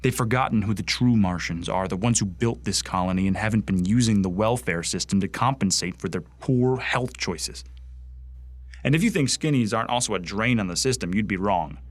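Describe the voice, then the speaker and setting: A man's voice: on the low side, fairly quick, dry recording, lightly acted. A young man acting a bitter, bigoted Martian recruit in a radio drama, ranting with contempt. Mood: contemptuous. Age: young adult. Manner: hateful, contemptuous ranting monologue.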